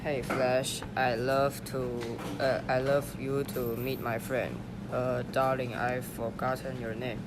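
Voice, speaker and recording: A man's voice: medium pitch, level tone, slow pace, hardly any pauses, close to an everyday voice. A man playing a sly, teasing, easygoing animal hustler in an amateur radio play. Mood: breezy, sly. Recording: very noisy amateur room recording.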